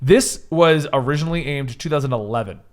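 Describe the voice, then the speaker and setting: A man's voice: fairly high pitch, brisk. A man co-hosting a casual discussion show, talking in an opinionated, scornful and exasperated way. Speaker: co-host of a video game list show.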